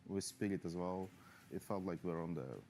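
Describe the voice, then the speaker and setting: A man, a professional player, giving a halting interview full of fillers and restarts. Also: low-pitched, a little mellow, faint music behind. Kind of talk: post-match player interview.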